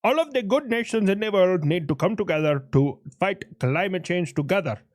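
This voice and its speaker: A man's voice: high-pitched, lively intonation, moderate pace, no echo, clean studio sound. A man voicing India as a nation in a put-on Indian accent, making earnest, stumbling speeches.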